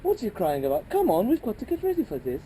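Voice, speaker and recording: A woman's voice: medium pitch, huge pitch swings, fast talker, background noise. A woman playing a nervous young groom who stammers and speaks urgently. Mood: agitated.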